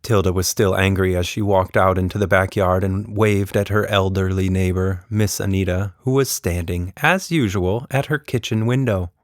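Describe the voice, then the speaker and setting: A man's voice: low voice, steady tone, moderate pace, hardly any pauses, dry recording, studio quality. A man narrating a scripted children's story expressively, as a podcast storyteller.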